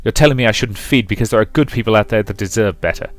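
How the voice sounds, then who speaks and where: A man's voice: fairly low pitch, quick pace, dry recording, close to an everyday voice. Gillamy, a mocking ancient predator read by a man. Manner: smooth, condescending, amused. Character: ancient male soul-eating predator, mocking, callous. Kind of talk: visual novel voice reading.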